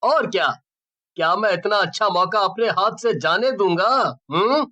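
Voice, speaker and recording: Greedy, high-voiced young schemer played by a man. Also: measured pace, pushed and bright, lo-fi sound. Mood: eager. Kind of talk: cartoon story acting.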